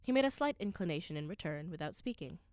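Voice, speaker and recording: A woman's voice: fairly low pitch, lively intonation, fast, a little mellow, a little hiss or hum, lightly acted. A woman playing an earnest scholar who narrates an undersea voyage in a radio play, with precise, literary, dramatic delivery. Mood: measured, puzzled.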